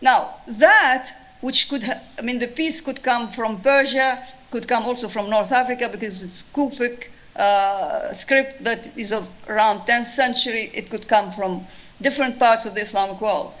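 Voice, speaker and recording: Tunisian-accented woman lecturer, fairly high voice, thoughtful and halting. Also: fairly bright tone. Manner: thoughtful, restarts often, many fillers. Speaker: lecturer on Islamic art. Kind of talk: answering an audience question at a lecture.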